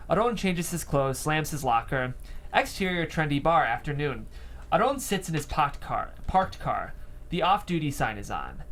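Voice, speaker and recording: A fairly high male voice reads scene headings and action lines as scripted narration. There is faint noise in the background of the table read. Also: a bit bright. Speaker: stage directions reader.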